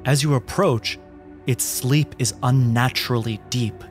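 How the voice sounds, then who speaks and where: A man narrating a horror tabletop game as game master, with dramatic, descriptive and deliberate phrasing. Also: mid-range voice, steady tone. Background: background music.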